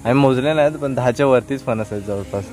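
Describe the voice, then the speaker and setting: A man's voice: mid-range voice, quick pace, darkish tone, soft background music. A young man vlogging outdoors on a hillside orchard, explaining each step to viewers on a handheld phone. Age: young adult. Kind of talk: casual outdoor vlog narration.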